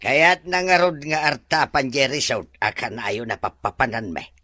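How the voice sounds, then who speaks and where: A man's voice: medium pitch, expressive, normal speed, pushed and bright, no echo. A man with a Filipino Ilocano accent acting a humble, middle-aged driver in an audio drama, stammering and teasing as he defers to his employer. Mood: earnest, chatty. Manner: stammering, giggly, full of interjections.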